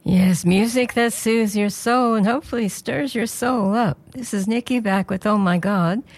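Slightly hoarse woman presenter. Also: medium pitch, moderate pace. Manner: earnest, prayerful, conversational. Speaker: radio presenter.

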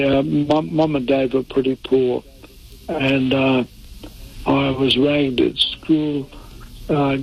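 An older man from Italy, a lawyer answering interview questions in a halting way, full of fillers and restarts. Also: mid-range voice, gravelly, slightly rough, low-quality recording. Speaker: interview guest, defence lawyer.

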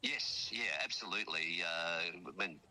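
A man's voice: low-pitched, a little slow, sharp tone, low-quality recording. An Australian man talking as a radio correspondent from abroad, chatty and rambling, full of fillers and restarts. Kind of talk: casual chat on a phone link. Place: outdoors at a memorial hall abroad.

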